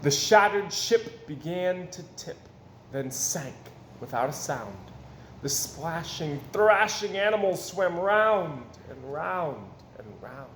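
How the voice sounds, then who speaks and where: A man reading a rhyming children's picture book aloud in a theatrical, expressive way. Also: fairly high pitch, slow. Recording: roomy echo, faint background noise.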